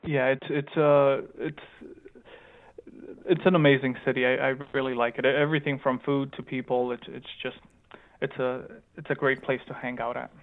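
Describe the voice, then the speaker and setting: Male interview guest on a tinny phone line, mid-pitched, light Romanian-Chicago accent, halting conversational delivery. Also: level tone. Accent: slight Romanian mixed with Chicago. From Chicago, United States, born in Romania. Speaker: guest, tech company founder.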